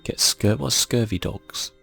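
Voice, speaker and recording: A man speaking softly and casually, thinking aloud as he gives ASMR commentary on a video game. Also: on the low side, measured pace, creaky, husky, faint music behind. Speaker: gaming channel host.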